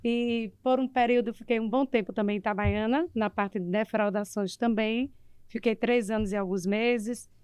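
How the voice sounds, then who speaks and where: A woman's voice: a little high, steady tone, moderate pace, a little mellow, smooth, dry recording. A woman with a northeastern Brazilian accent from Alagoas, recounting her background conversationally and matter-of-factly as a guest. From Maceió, Brazil. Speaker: police chief, interview guest. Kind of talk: conversational personal account.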